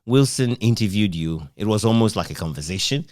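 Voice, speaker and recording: A man's voice: fairly low pitch, expressive, fairly quick, darkish tone, no room sound, clean studio sound. A man interviewing someone in a conversational style. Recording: thin phone-like sound.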